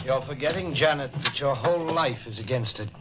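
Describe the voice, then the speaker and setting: An actor playing a sinister, bitter man who torments a woman with theatrical, deliberate taunts in an old-time radio melodrama. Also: mid-range voice, dull audio, over music, close to an everyday voice. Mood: taunting, menacing.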